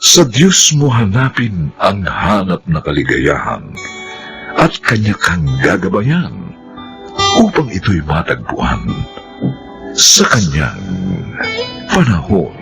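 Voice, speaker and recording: A man narrating and announcing credits for a radio drama in a solemn, deliberate, dramatic scripted style. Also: low-pitched, wildly swooping pitch, music in the background.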